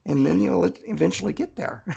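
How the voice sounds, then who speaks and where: A man with a gravelly, husky voice chatting casually as a guest author, folksy and good-humored in his storytelling. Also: high voice, darkish tone, muffled recording.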